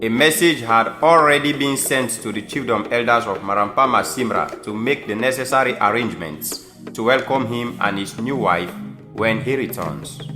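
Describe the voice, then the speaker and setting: A man with a Sierra Leonean accent giving scripted storytelling narration for an audio drama. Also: mid-range voice. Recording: echoey room, faint music behind.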